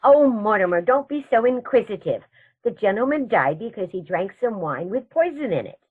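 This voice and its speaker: An actress playing a genteel elderly aunt in a comedy radio play, serenely matter-of-fact and gently chiding. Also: female voice, mid-range voice, very animated, normal speed, husky, phone-quality sound. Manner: prim, gently chiding, unruffled. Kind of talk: stage comedy radio theatre acting.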